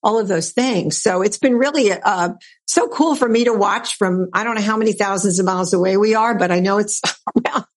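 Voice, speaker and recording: Chatty woman host with rambling questions. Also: medium pitch. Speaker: podcast host. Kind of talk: casual interview chat.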